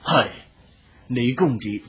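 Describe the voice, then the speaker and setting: An actor playing a respectful old household servant in a period radio drama, speaking in an unhurried way. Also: male voice, medium pitch, lively intonation, fairly bright tone, lo-fi sound, a little hiss or hum.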